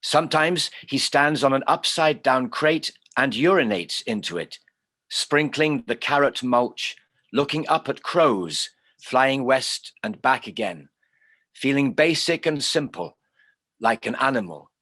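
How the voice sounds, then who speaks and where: A man's voice: mid-range voice, animated, a little slow, bright, forceful tone, no echo. A man reciting poetry on an online call, plainly and phrase by phrase. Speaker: poetry reader.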